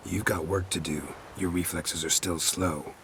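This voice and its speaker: An actor playing a calm, gruff male witcher swordsman who speaks in dry, clipped commands. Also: low voice, a little slow, a little hiss or hum. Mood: instructing.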